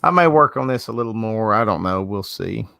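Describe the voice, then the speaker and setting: A man's voice: lowish voice, animated, fairly quick, creaky, sharp tone, dry recording. Southern male host, casual rambling delivery, dry laptop-call audio. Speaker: host of an art livestream. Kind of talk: casual chat. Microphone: video call from a laptop.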